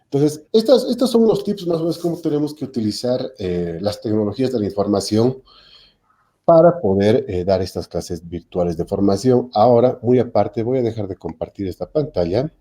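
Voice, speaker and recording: A man's voice: fairly low pitch, very animated, moderate pace, dark tone. A man, a technical institute lecturer explaining online teaching in a panel talk, hesitating with frequent fillers and self-corrections over a video call.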